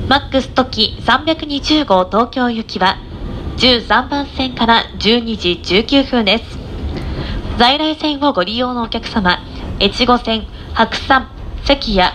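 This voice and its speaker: A woman conductor giving formal, polite announcements on board a train. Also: fairly high pitch. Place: inside a moving train, over the speakers. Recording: train cabin announcement with vehicle noise. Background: faint train running noise.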